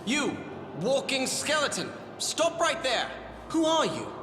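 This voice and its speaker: An actor playing an earnest, anguished young prince in a fantasy video game, with formal, theatrical delivery. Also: male voice, extremely high pitch, wildly swooping pitch, unhurried, noisy background, exaggerated voice. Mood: alarmed. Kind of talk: dramatic video game voice acting. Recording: reverberant hall sound with music behind.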